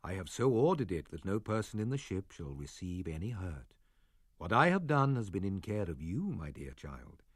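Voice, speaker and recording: A man reading the part of an aged sorcerer and father, with a husky, measured and solemn delivery. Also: fairly low pitch, no echo, clean studio sound. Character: aged male sorcerer, stern but loving father. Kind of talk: audiobook drama reading.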